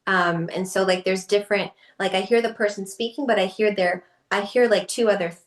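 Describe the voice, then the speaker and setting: A woman's voice: medium pitch, fairly quick. Chatty woman guest in an echoey room. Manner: chatty, many fillers and laughs, lively quoted asides. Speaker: podcast guest. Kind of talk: casual conversational storytelling.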